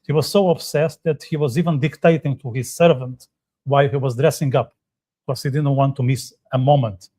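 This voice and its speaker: A man's voice: mid-range voice, steady tone, brisk, dark tone. A man co-hosting a live writers' podcast in casual chat, joking and putting questions to his guests. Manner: chatty, joking, asks the others questions. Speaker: podcast co-host. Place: hotel room, live session.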